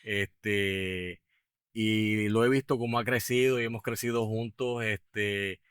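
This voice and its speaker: Man in his fifties, Puerto Rican accent, low-pitched, smooth, dry close sound, halting with fillers. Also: fairly even intonation, a bit bright, no echo. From Puerto Rico. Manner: hesitant, many fillers and restarts. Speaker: guest, business owner. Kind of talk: podcast interview.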